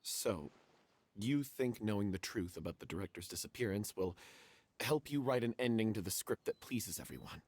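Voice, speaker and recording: A man's voice: on the low side, animated, moderate pace, a little mellow. An actor playing a hesitant, earnest male theater troupe member in an anime fantasy game. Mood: troubled, reluctant.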